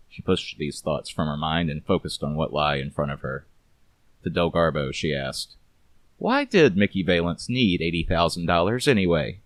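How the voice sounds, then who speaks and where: A man's voice: rumbling low, no echo. A man narrating a scripted noir detective story.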